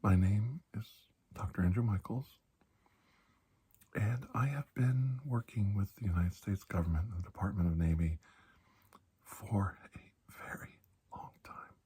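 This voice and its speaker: A man playing a calm, reassuring doctor in an ASMR roleplay, speaking softly and slowly with pauses, often near a whisper, in a breathy, husky voice. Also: fairly low pitch. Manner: slow, calming, deliberate with long pauses. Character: calm, reassuring older military doctor treating alien infection.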